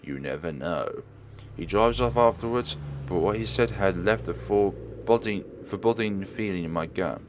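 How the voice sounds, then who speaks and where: A man's voice: on the low side, normal speed, hardly any pauses, noisy background. A man hosting a let's play, reading a visual novel aloud in a theatrical way and sometimes stumbling and rereading words. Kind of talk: dramatic read-aloud narration of a visual novel.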